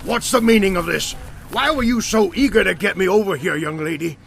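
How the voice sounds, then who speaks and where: An actor playing a gruff, indignant farmer in an audio drama, blustery and rough-voiced. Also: male voice, high-pitched, fairly quick, a bit bright.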